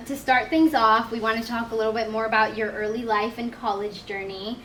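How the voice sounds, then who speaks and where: A woman's voice: a little high, steady tone, brisk, fairly bright tone, a little hiss or hum, rough recording. A young woman hosting a live podcast, upbeat and reading prepared questions. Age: young adult. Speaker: podcast host. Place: live event room.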